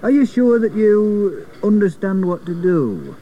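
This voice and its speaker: A man acts out an older works engineer from a rhyming children's storybook. He is matey and chatty, explaining things in folksy phrases with big swings of pitch. The recording is a little dull, with faint noise. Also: big pitch swings, a little slow, mellow. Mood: instructing.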